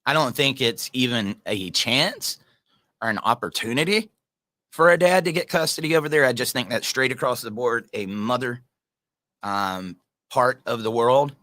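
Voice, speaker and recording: A man's voice: medium pitch, expressive, moderate pace, bright, forceful tone, no echo. A man hosting a casual livestream, reading viewer comments and talking earnestly in a rambling way, addressing viewers by name.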